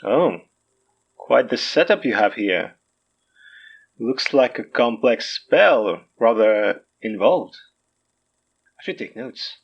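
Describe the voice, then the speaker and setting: A showman mystic guru for an audio drama, smooth-talking and grandiose. His pitch sweeps up and down theatrically, in slightly muffled audio. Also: lively intonation, takes it slowly, long pauses, heavily acted. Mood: showy, obliging. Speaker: self-styled guru and herb seller. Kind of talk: audio fiction podcast acting.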